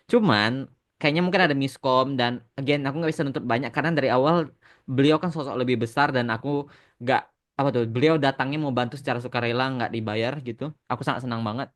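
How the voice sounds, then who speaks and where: A man's voice: mid-range voice, fast. A man hosting a casual podcast chat, mixing English phrases into his talk. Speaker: podcast host and animation creator answering fan questions.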